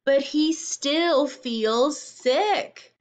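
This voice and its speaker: A woman's voice: high-pitched, drawn-out words. A woman reading a children's story aloud like a teacher, speaking expressively and explaining things simply to young listeners.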